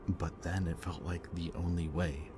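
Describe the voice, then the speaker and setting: A man playing a bitter, remorseful villain in an audio roleplay, confessing in a low, intimate voice that sometimes drops to a whisper. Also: unhurried, over music. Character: remorseful bitter male villain, young adult. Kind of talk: audio roleplay addressed to the listener. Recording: steady ambient background noise.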